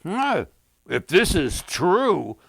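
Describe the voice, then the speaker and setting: A man voicing a stern, commanding folktale king who makes emphatic, deliberate pronouncements. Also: high voice, huge pitch swings, vocal fry, fairly bright tone, no echo, voice pushed up, exaggerated voice.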